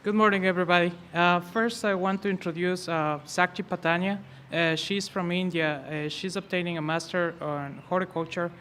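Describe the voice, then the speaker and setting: Bright-voiced man. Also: on the high side, a little hiss or hum. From Ecuador. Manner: hesitant, frequent fillers. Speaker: faculty advisor. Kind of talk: ceremony introduction speech.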